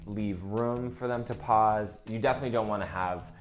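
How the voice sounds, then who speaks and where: Low-voiced man teaching casually over soft music. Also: normal speed. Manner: conversational, peppered with "like". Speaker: comedian teaching character reels. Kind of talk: casual instructional talk. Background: faint music.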